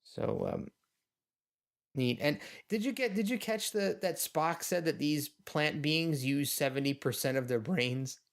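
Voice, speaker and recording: A man's voice: fairly high pitch, lively intonation, a little slow. A man co-hosting a podcast, giving casual, wryly amused commentary on an episode as he watches. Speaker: podcast co-host.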